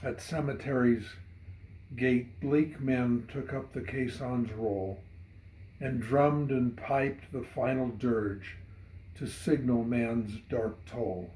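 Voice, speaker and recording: A man reading a narrative poem dramatically, in measured and solemn storytelling. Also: medium pitch, fairly even intonation, dark tone, a little hiss or hum. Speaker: poet reading own poem. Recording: roomy echo.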